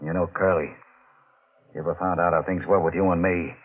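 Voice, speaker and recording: An actor playing a brooding, guilt-ridden deep-sea diver in an old-time radio mystery, speaking in a tense, halting way. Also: male voice, fairly even intonation. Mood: cold, scheming. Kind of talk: old-time radio drama acting with narrated inner monologue. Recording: muffled, noisy old radio recording.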